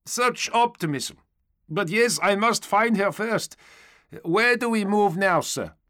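Chirpy polite foreign soldier in an audiobook, high n bouncy, eager non-native phrasing. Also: male voice, lively intonation, slow pace, fairly bright tone, full character voice. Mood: cheerful, hopeful. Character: cheerful, chatty, optimistic young male foreign stormtrooper.

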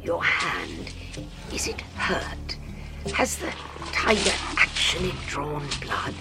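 A calm, commanding doctor, voiced by a woman reading the story, speaking low and unhurried in a gravelly near-whisper. His pitch rises and falls widely with wry emphasis while he keeps a quiet authority, in a storybook telling. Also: over loud music, heavily acted. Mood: hushed calm. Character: calm, commanding male doctor, dry-witted.

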